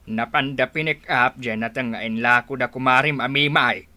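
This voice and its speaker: Blunt villager in a radio play, low voice but bright, dry sound, bit chiding. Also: female voice, steady tone, moderate pace, no echo. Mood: matter-of-fact. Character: plain-spoken middle-aged villager trading neighbourhood news at home. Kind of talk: radio drama acting in rural family comedy.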